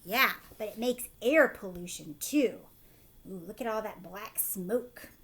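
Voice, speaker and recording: A woman teacher reading a picture book aloud to children, expressive, with explanatory asides and questions. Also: medium pitch, a little slow.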